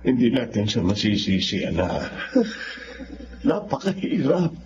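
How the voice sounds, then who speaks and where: A man acting a gentle, grave middle-aged father in a Filipino radio drama. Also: on the low side, very animated, unhurried. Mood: consoling, cautious. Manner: grave, consoling. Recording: muffled radio drama with background noise.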